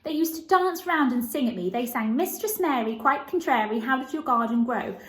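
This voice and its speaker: A woman's voice: sits high, lively intonation, normal speed, echoey room, voice pushed up. A woman voicing an earnest, eager and slightly anxious little girl in a children's storybook, with a pleading manner.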